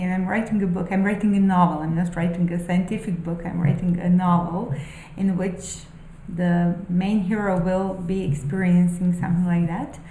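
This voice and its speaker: A woman who speaks English as a second language, musing aloud about spiritual ideas in a halting, thoughtful way, with many pauses and fillers. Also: fairly low pitch, mellow, roomy sound, slight background noise, low-quality recording. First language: not English. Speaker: spiritual vlogger. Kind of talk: unscripted musing monologue.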